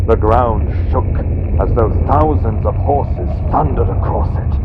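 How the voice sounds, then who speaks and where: Tinny vintage-radio male horror narrator, slow. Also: fairly low pitch. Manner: theatrical, deliberate, swinging from hushed to loud. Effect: vintage radio sound. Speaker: audiobook narrator. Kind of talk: dramatic scripted horror story narration. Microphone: built-in computer microphone. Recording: tinny phone-like built-in computer mic, noisy. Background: faint storm-like ambient sound effects.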